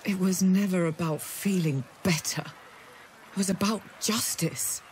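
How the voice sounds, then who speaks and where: A woman's voice: fairly low pitch, unhurried, darkish tone, a little hiss or hum, lightly acted. A woman playing a bitter, vengeful grieving mother in a video game, cold and resolute. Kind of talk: video game character dialogue.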